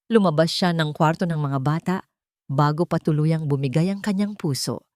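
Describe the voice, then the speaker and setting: A woman giving slow, emotive scripted narration of a dramatic love story. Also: deep voice, darkish tone, dry recording, clean studio sound.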